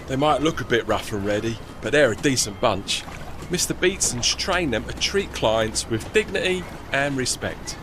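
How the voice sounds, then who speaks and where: A man's voice: mid-range voice, animated, normal speed, noisy background, lightly acted. An actor playing a chatty, deferential working-class tugman with a London Cockney accent and a rambling, folksy manner in a period audio drama. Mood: chatty, apologetic.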